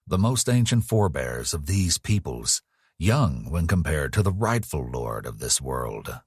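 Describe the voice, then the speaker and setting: A man with a husky voice reading a dark fantasy horror audiobook in a solemn, dramatic, measured storytelling manner. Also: extremely low pitch, big pitch swings, no room sound, clean studio sound. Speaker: author narrating own audiobook.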